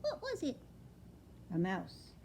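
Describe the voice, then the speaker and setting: An eager baby barn owl boy, put on by a woman reading a storybook, squeaky and high with a lively, bouncing pitch. He talks in an earnest, slightly worried way, and faint background noise sits under the voice. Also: slow pace, creaky, exaggerated voice.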